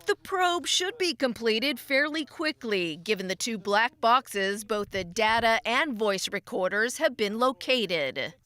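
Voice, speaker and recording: A woman's voice: a little high, lively intonation, unhurried, few pauses, sharp tone. A woman reading scripted broadcast news as an anchor.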